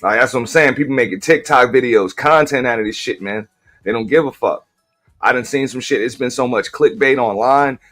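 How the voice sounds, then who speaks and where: A man's voice: mid-range voice, a little fast, fairly bright tone, faint music behind. A man hosting a casual live-stream talk show, speaking bluntly with a lot of profanity. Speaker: host.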